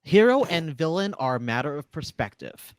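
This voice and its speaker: A man hosts a casual round-table chat. His pitch moves around a lot as he talks. The recording is close and dry. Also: very animated, moderate pace, no room sound. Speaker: host. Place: indoors, on a video call.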